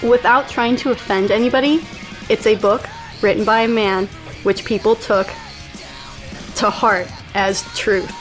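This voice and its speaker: Woman guest chatting, kinda monotone and calm, faint music in the back. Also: medium pitch, unhurried, rough recording. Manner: calm, self-described monotone delivery. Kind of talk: casual chat.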